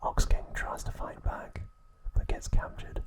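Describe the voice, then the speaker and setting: Male ASMR host. Full breathy whisper throughout, very unhurried, gentle and deliberate delivery. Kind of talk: whispered ASMR narration and trivia.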